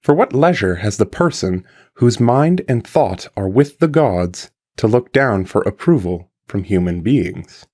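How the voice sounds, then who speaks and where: A man with a fairly low voice reads a scripted, old-fashioned philosophical text. His delivery is formal and carefully measured, with emphatic phrasing. The pitch rises and falls widely as he moves through long sentences. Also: animated, a little mellow. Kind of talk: scripted audiobook-style reading of an archaic philosophical translation.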